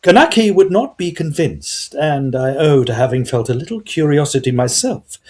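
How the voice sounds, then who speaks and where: A man playing an earnest gentleman ghost hunter who narrates a period Gothic audio drama in formal, old-fashioned phrases. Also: on the high side, big pitch swings, normal speed. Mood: wry, curious.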